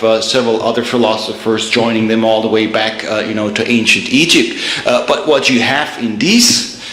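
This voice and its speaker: A middle-aged man from Germany with a German accent, giving a measured public lecture with many uh and um fillers and repeated words. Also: mid-range voice, bright, forceful tone. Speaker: guest speaker, theologian. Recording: echoey room, faint ambience.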